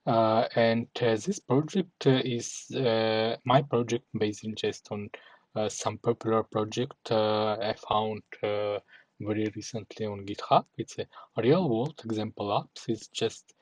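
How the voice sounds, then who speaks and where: A male developer presenting his project in a halting way with frequent fillers, an English speaker. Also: lowish voice, steady tone, no room sound. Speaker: developer and community moderator. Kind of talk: recorded demo presentation.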